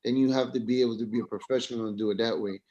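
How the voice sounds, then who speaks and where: A man's voice: medium pitch, steady tone, racing, warm tone, dull audio. A man speaking informally and emphatically in a casual group discussion.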